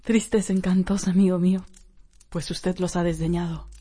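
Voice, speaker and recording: A woman's voice: lowish voice, little pitch movement, normal speed, mellow, slightly rough. An actress in a radio drama playing a desperate, tearful young married woman with a pleading, theatrical delivery. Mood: melancholy.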